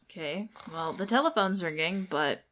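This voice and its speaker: A woman's voice: on the low side, animated, moderate pace, a bit bright. A woman giving casual gameplay commentary, thinking aloud as she goes. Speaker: video game streamer. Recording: game music behind the voice.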